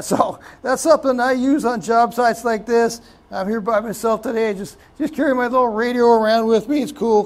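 A man hosting a casual how-to video, talking in a relaxed, chatty way with many "uh"s and "okay"s while working off screen in a house under renovation. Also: extremely high pitch. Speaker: electrician and video host.

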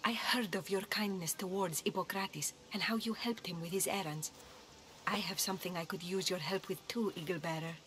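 An actress playing a stern, devout priestess of an ancient Greek temple in a video game. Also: female voice, on the low side, steady tone, normal speed, a bit bright, close to an everyday voice. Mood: earnest, resolute. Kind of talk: video game character acting.

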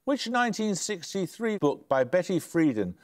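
An older man, the host of a studio quiz show, reads each question crisply and evenly. His voice sits a little high for a man, and he sounds dryly amused when teams get it wrong. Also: sing-song, normal speed. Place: television studio.

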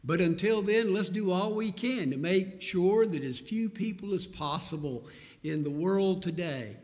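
A man's voice: sits high, a little mellow. A middle-aged male pastor earnestly pleading with his congregation from the pulpit, recorded on a pulpit microphone in a large church sanctuary. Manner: earnest, pleading appeals with pauses. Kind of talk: sermon invitation and prayer. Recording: long sanctuary reverb.

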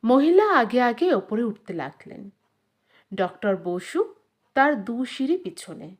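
Slow, high-voiced woman narrating a story. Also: very animated. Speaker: audiobook narrator. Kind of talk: scripted dramatic story narration.

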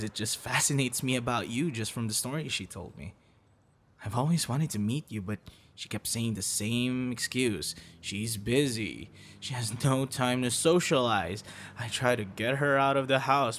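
A male voice actor plays a young man in an ASMR-style boyfriend roleplay, chatting casually and teasing his companion. His mid-pitched voice moves around in a lively way, with faint ambient noise behind it. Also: lightly acted. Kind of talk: ASMR boyfriend roleplay, intimate one-sided dialogue.

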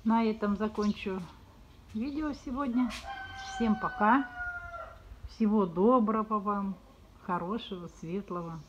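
A woman talks casually about her crops while filming outdoors. Her voice is at an ordinary pitch, chatty and homely. The phone recording outdoors has clear background noise. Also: a little slow, pauses often, highs cut off. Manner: chatty, homely, with loving diminutives. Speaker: vlogger showing her garden. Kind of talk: casual vlog commentary. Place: outdoor rural garden and yard. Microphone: phone camera held while filming.

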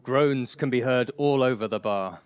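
A man narrating a live radio play in a measured, wry manner. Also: mid-range voice, smooth, no echo. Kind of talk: scripted narration. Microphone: headset.

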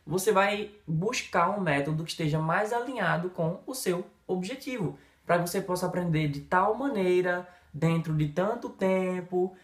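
A Brazilian man in his thirties with a Brazilian Portuguese accent, an English teacher giving an emphatic, energetic lesson straight to the camera. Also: high voice, echoey room. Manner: lively, emphatic, talks straight to the viewer with many gestures. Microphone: camera in front, head and shoulders framing.

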